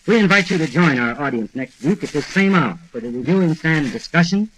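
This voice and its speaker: Brief: American male moderator, slightly high voice, measured formal delivery, faintly noisy 1940s radio sound. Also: animated, a bit bright. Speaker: moderator of a radio panel discussion. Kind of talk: formal scripted summary and announcement.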